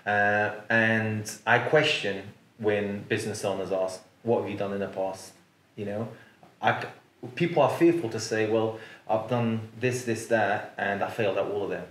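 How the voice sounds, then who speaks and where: A man in his thirties with a lowish voice hosts the conversation, earnest and quick to back his guest up. The sound carries the echo of a roomy office. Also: fairly even intonation, fairly bright tone. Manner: earnest, affirming, repeats agreement. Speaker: interviewer host. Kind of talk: conversational interview. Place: office lounge, indoors.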